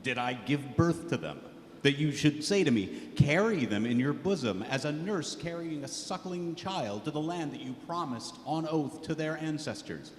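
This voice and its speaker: A man's voice: a little high, animated, normal speed, close to an everyday voice. A man reading scripture dramatically in church as Moses, a burdened, exasperated leader pleading. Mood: weary, exasperated. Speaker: scripture reader voicing Moses. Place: large church indoors. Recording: echoey church hall, audible noise.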